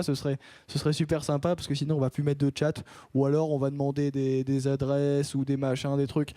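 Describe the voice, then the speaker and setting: A man's voice: fairly high pitch, mellow, dry recording, studio quality. A young man hosting a casual student radio show, rambling with many restarts and fillers. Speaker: radio show host and columnist.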